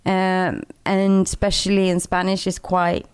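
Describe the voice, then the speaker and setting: A young woman from Sweden co-hosting a casual football radio chat, easygoing and quick to laugh. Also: on the low side, completely flat delivery, clear, resonant tone. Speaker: radio co-host.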